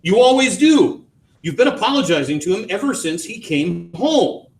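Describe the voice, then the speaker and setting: A man reading aloud and voicing a bitter, defensive middle-aged mother, raising his pitch into a sharp, accusing tone. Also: very animated, normal speed, echoey room.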